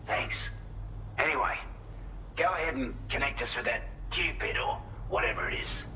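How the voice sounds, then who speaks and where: An actor with an Australian accent playing a plain-spoken, earnest shelter resident briefing a courier in a video game. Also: male voice, medium pitch, expressive, moderate pace, background noise, lightly acted. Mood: appreciative, casual. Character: matter-of-fact male shelter resident, allied quartermaster briefing a courier. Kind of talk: video game cutscene dialogue, mission briefing.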